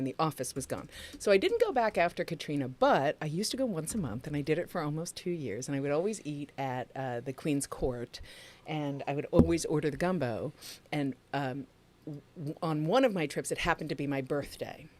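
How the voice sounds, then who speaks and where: Low-voiced woman chatting on a livestream. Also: lively intonation, a little fast, a little mellow. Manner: chatty, rambling, laughs often. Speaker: podcast co-host. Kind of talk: casual livestream chat.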